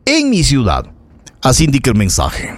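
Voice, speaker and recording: A man's voice: medium pitch, huge pitch swings, moderate pace. A man with an Ecuadorian accent hosting a local radio programme, giving indignant, emphatic commentary to listeners and repeating phrases for effect. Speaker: local radio news host. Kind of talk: live opinionated radio commentary, addressing listeners.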